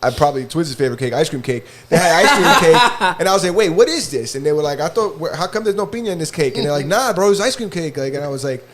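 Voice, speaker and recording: Brief: quick, animated co-host man, slightly high voice, swears freely. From Dominican and Puerto Rican family. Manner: animated, profane storytelling. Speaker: podcast co-host. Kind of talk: casual chat.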